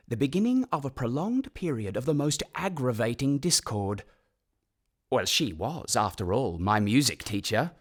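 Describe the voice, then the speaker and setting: Wry old composer narrating, swooping pitch. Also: male voice. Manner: pompous, dryly ironic, measured. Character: wry, pompous elderly male composer recalling his orphan boyhood. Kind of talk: scripted radio play narration.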